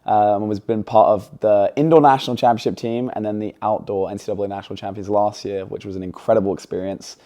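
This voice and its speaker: Low, mellow and relaxed, a young man in his twenties talks as an interview guest. His accent blends English and American, and his chatty, upbeat delivery is full of ums. It is an easygoing, casual conversation. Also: fast talker, few pauses. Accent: mix of English and American. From England. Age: 20s. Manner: chatty, filler-heavy, upbeat.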